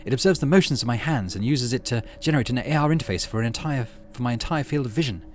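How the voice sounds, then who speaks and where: A man's voice: mid-range voice, expressive, fast talker, close to an everyday voice. A man voicing an earnest, stoic teenage girl scientist in a visual novel, with precise, formal speech. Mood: proud, intense. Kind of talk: reading visual novel dialogue aloud.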